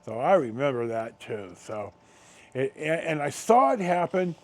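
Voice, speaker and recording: A middle-aged American man hosting a casual storytime, rambling along in halting, unhurried, drawn-out phrases. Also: fairly high pitch, big pitch swings. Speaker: video host.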